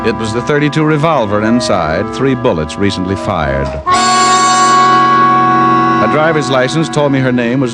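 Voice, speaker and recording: Wry American gumshoe, male actor, low voice, dry wisecracks, vintage radio recording under loud music. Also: close to an everyday voice, rough recording. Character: wry, sharp-witted male private detective. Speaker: lead actor and first-person narrator. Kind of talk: 1940s-50s radio detective acting.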